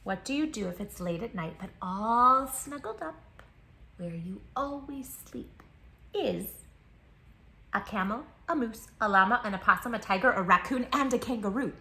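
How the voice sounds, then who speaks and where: A woman reading a picture book aloud to children in an expressive, affectionate, playful way as a storytime host. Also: fairly high pitch, moderate pace, a little hiss or hum. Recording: roomy echo, faint music behind. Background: faint music.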